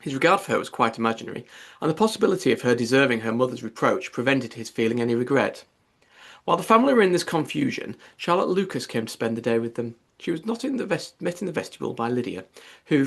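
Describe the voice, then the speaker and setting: A man's voice: mid-range voice, animated, fast talker, creaky. A man reading a classic novel aloud as an audiobook narrator, in scripted literary narration.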